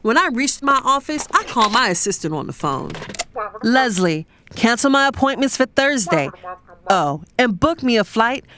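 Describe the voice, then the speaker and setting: An actress playing a conflicted, lovestruck professional woman, telling her story in an expressive, confiding, exasperated way for an audio drama. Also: on the high side, moderate pace, slight background noise. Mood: irritated. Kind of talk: dramatized first-person audio fiction narration.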